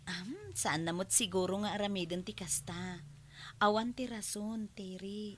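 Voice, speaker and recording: A woman playing a gentle, easily hurt young bride-to-be in a radio drama, with an Ilocano Filipino accent and a soft, hesitant delivery. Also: mid-range voice, fairly even intonation, a little slow, lightly acted. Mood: polite, gentle.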